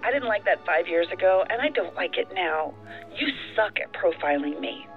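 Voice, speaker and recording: Tinny phone-voiced frustrated agent. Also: female voice, medium pitch, big pitch swings, moderate pace, creaky. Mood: irritated. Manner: tense, earnest, pointed. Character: frustrated, self-doubting female federal agent. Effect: telephone filter. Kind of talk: audio drama acting. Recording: tinny phone line, faint music behind.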